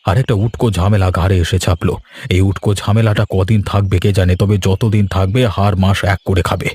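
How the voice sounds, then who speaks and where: A man's voice: low voice, fairly even intonation, a little fast, darkish tone, breathy edge. A man voicing a weary, grumbling villager burdened by troubles in a cartoon. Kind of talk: cartoon voice acting.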